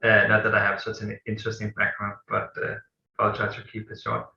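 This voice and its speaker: A man's voice: lowish voice, completely flat delivery, fairly quick, vocal fry, pushed and bright. A man from Denmark with a Danish accent, answering interview questions in his thirties, hesitant and full of fillers. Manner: hesitant, many fillers and restarts. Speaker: guest, company co-founder. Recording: echoey room, dull lo-fi sound.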